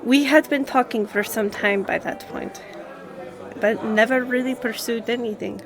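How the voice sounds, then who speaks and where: Girl playing a lady lawyer opening up over drinks, real slow w pauses, bit high pitched, audio drama. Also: music in the background, poor audio. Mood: fond, nostalgic. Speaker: voice actor in audio roleplay. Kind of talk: scripted conversational audio roleplay.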